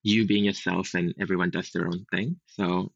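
A man's voice: deep voice, fairly even intonation, normal speed, gravelly, dry recording. A young man from Singapore with a Singaporean accent, speaking reflectively as a podcast guest, with frequent fillers and restarts. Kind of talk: casual conversation. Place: home. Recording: dull lo-fi home recording.

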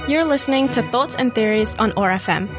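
A woman host reading a brisk, upbeat scripted podcast introduction. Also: a little high, animated. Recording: intro voice over clear music.

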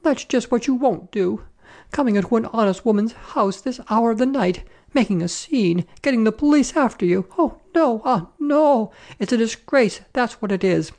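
Storybook café woman, played by a man: shrill, indignant scold, pitched high and highly animated. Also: normal speed. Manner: screaming, scolding, repeating herself.

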